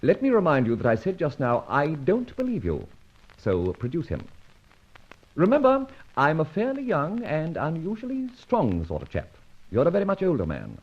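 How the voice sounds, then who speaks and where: An actor playing a young British masked thief in an old-time radio detective drama, mock-polite, wry and commanding. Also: male voice, a little high, huge pitch swings, normal speed, breathy edge, exaggerated voice. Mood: cool, defiant. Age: young adult. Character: suave masked young vigilante thief, bold, mocking, menacing. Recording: dull vintage radio recording.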